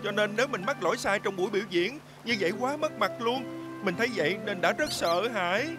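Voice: medium pitch, lively intonation, fairly quick, lightly acted. An actor voicing a timid, anxious little truck in a children's cartoon, nervous and pleading. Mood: sheepish. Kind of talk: animated children's cartoon dubbing. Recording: music behind the voice.

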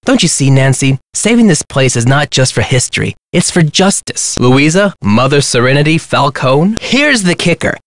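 A fervent political activist from an adventure game. His voice sits fairly high and swoops widely as he wisecracks in counterculture slang. The delivery is theatrical and impassioned, recorded very dry. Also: big pitch swings, normal speed, few pauses, no echo. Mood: earnest, eager. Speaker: video game voice actor. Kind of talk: video game character dialogue.

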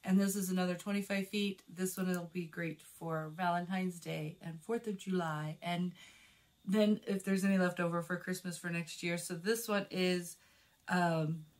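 A woman in her forties, a little hoarse and husky after being sick, chatting in a friendly way as she shows off items in a small room. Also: fairly low pitch, fairly even intonation, a little slow. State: slightly hoarse after illness. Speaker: vlogger host. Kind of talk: casual shopping haul chat. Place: small indoor room in front of a plain wall. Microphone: phone camera with a clip or shotgun mic at close range.

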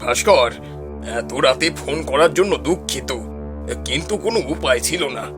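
A man's voice: a little high, expressive, normal speed, over music, lightly acted. A man playing a stern, pushy office boss with an authoritative manner in a cartoon story. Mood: businesslike. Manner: scolding, authoritative. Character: stern, pushy male newspaper boss on the phone.

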